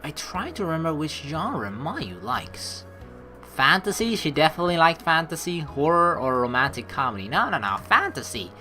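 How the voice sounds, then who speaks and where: Woman, let's play streamer, low pitch, animated delivery, reading story text aloud, playful casual asides. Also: normal speed. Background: game music.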